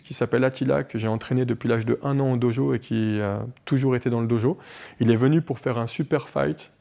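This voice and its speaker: Mellow-voiced Swiss martial arts coach in his forties. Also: medium pitch, gravelly. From Switzerland. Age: 40s. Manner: animated, restarts often with many fillers. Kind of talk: local TV interview.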